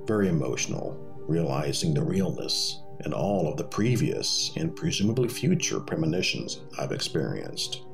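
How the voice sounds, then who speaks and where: A man's voice: a bass voice, very animated, moderate pace, vocal fry, hardly any pauses, over music. A man telling a personal story in a conversational, wry and wordy way. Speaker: storyteller reading own experience.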